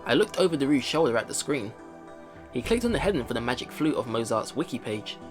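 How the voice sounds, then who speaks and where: A man's voice: mid-range voice, very animated, fast talker. A man reading a visual novel aloud and commenting as he plays, thinking aloud in a chatty way. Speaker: let's player. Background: visual novel game music.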